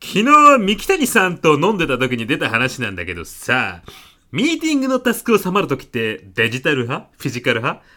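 A man's voice: on the high side, wildly swooping pitch, a little fast, sharp tone, heavily acted. A man playing an earnest, easily flustered middle-aged salesman in a comedic radio drama. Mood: smug, boastful. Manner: polite, hesitant, protesting.

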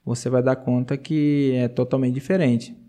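Missionary man, mellow and earnest, laughs easily, repeats himself. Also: mid-range voice, fairly even intonation, normal speed. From Brazil. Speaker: missionary guest. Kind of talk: casual interview testimony.

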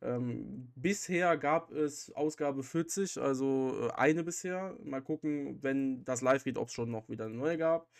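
A man's voice: medium pitch, a little slow, creaky, hardly any pauses. Male podcast host, mid-range voice, chatty and informal. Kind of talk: casual podcast chat.

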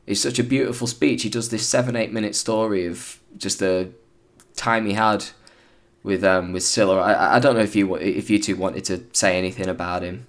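A hoarse British man hosting a podcast, chatting casually and rambling with plenty of fillers and restarts. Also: lowish voice. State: hoarse, recovering from illness. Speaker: podcast host.

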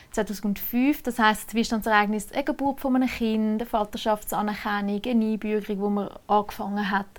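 Woman, swiss german accent, fairly high voice, explanatory, laughs lightly now and then. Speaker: civil registrar. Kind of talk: casual interview answers. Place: Switzerland.

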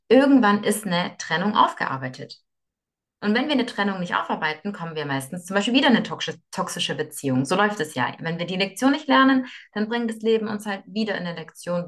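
A young woman from Russia, a guest in a podcast interview, explaining her ideas earnestly, often restarting and repeating words. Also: medium pitch. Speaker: yoga teacher and guest.

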